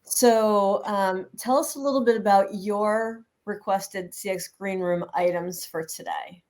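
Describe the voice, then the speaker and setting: A woman's voice: mid-range voice, a little slow, a little mellow. A woman hosting an interview in an upbeat, chatty way. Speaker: host.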